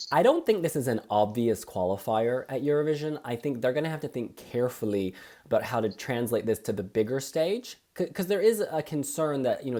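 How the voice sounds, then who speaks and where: A woman with a strikingly deep voice co-hosts a relaxed review chat. Her talk is chatty and opinionated, and her pitch rises and falls a great deal. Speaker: co-host.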